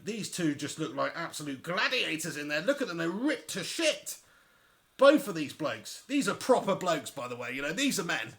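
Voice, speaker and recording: A man giving chatty, excitable live commentary on a boxing match and talking straight to his viewers. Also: fairly high pitch, creaky. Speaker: livestream fight commentator.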